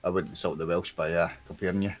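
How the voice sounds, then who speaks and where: A man voicing a deep-voiced adventurer who muses slowly and dryly, with faint music behind. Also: little pitch movement, close to an everyday voice.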